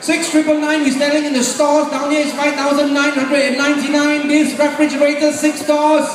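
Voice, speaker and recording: A middle-aged man with a Malaysian accent doing loud, bantering, repetitive live sales patter as an auctioneer. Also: very high voice, completely flat delivery, a little fast, far from the mic. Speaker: sale auctioneer and emcee. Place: large open warehouse with a crowd. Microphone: handheld mic over PA, filmed from the audience. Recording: echoey, noisy PA recorded from the crowd. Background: crowd noise.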